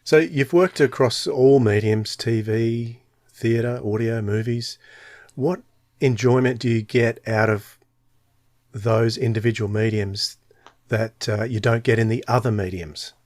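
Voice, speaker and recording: A man's voice: mid-range voice, fairly even intonation, unhurried, a little mellow, dry recording, clean studio sound. A man casually putting questions to a guest as a podcast interviewer.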